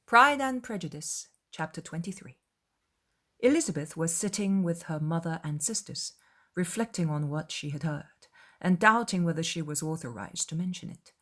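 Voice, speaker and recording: Female audiobook narrator, lower register, measured and expressive delivery for a classic period novel. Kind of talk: dramatized literary narration.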